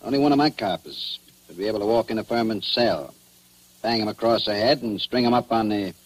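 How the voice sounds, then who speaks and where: An actor playing a shrewd, knowing, quietly regretful police chief in 1940s radio drama style. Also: male voice, lowish voice, fairly quick, dry recording, close to an everyday voice, poor audio. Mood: accusing.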